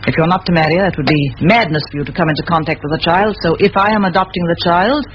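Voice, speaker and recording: An actress playing a determined middle-aged schoolmistress in a vintage radio play, speaking in firm, measured, eloquent phrases. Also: female voice, lowish voice, natural voice. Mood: earnest, impassioned. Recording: muffled old radio recording, faint hiss.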